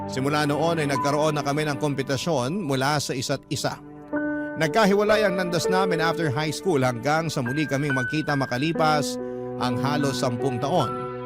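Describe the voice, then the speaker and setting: A Filipino man hosts a radio drama, reading a listener's personal story as scripted narration. His voice is fairly high for a man and has a Filipino accent, with loud music playing behind it. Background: prominent music.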